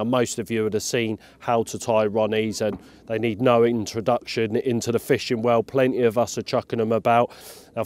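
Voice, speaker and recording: A man's voice: medium pitch, a little mellow, clear, resonant tone, dry recording. A middle-aged man talks through his gear in a friendly, conversational way. His voice sits in the middle range. He is recorded close on a clip-on mic, with a dry sound and almost no room around it. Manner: easygoing, enthusiastic, conversational. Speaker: carp fishing presenter. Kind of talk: instructional explanation to camera. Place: outdoors by a lake, beside a bivvy tent. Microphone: clip-on lapel mic with windshield.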